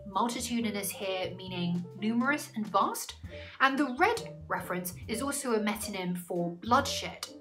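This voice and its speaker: Female tutor, twenties, slightly high voice, articulate and instructive scripted explainer over music. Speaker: English literature tutor. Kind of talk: scripted educational explanation to camera. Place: small indoor room, plain wall.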